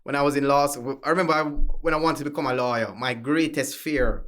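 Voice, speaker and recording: A man with a strong Jamaican accent, talking as a guest attorney in casual conversation and telling stories with animated energy. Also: fast. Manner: animated, acts out quotes with big pitch jumps.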